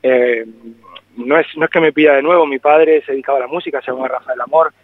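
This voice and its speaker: A man's voice: medium pitch, fairly even intonation, quick pace, a little hiss or hum. A singer-songwriter in his forties with a slight Argentine-tinged Spanish accent, talking reflectively in a phone interview with many hesitations. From born in Spain. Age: 40s. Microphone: telephone. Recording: tinny phone line, dry.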